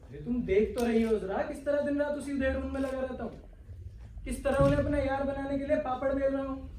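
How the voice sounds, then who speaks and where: An actor playing an ardent young servant in love in a stage play, earnest and declamatory with florid romantic delivery. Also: male voice, very high voice, fairly even intonation, fairly quick, warm tone. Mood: scheming, animated. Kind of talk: Hindi-Urdu stage play acting. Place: stage of a theatre hall. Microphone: far from the actors. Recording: echoey hall, distant mic, faint noise.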